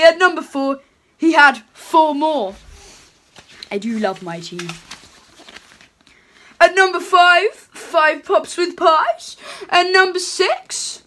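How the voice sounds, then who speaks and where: A woman's voice: a bit bright, exaggerated voice. A girl doing a jolly chatty Father Christmas. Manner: lowered playful voice, chuckling ho ho ho. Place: home room with curtains.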